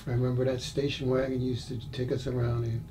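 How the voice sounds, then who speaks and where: A man's voice: medium pitch, little pitch movement, quick pace, noisy background. A man in his sixties interviewing an older man in casual conversation, asking prompting questions and laughing easily. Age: 60s.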